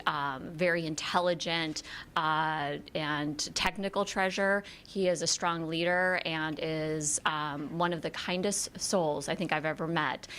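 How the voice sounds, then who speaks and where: A middle-aged woman, a school finance association director, giving a measured award presentation at a board meeting with many "uh" pauses. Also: on the low side, a bit bright, smooth. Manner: measured, with frequent "uh" fillers. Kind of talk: formal award presentation speech. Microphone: without a working microphone, projecting.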